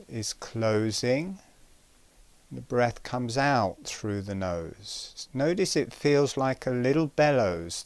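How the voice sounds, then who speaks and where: A man giving guided breathing instruction in a calm, gentle, measured way, pausing between short phrases. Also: mid-range voice, smooth, no room sound.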